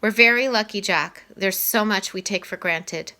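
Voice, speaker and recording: Give the storybook a concerned mother read by a woman, serious and earnest. The voice is bright, with a gently probing way of talking to a child. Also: mid-range voice, moderate pace, lightly acted. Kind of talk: children's novel read aloud.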